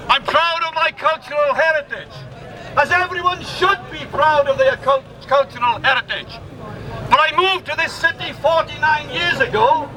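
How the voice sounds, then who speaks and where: An older man with a Welsh accent giving an impassioned, emphatic political speech at a protest rally. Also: very high-pitched. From Swansea, Wales. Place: outdoors in a city square. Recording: distant outdoor mic, noisy street crowd.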